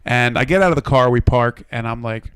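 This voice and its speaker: An American man with a slightly hoarse voice, co-hosting a podcast with casual, profane, self-deprecating storytelling that rambles. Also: medium pitch, fairly quick, fairly bright tone. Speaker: podcast co-host. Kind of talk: casual comedic storytelling among friends.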